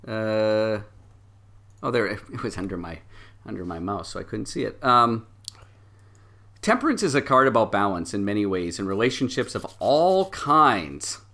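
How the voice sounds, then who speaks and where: A man with a fairly low voice leads a tabletop role-playing game. He narrates scenes and explains cards conversationally, pausing now and then as he finds his words. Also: very animated, sharp tone. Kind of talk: narrating a story game and reading card meanings aloud.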